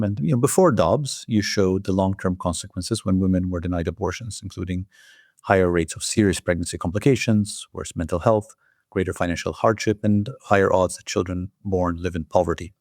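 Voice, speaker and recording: A man's voice: low-pitched, brisk, darkish tone, no room sound, studio quality. A man hosting a formal research interview, putting structured questions to a guest. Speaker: interviewer, journal editor host.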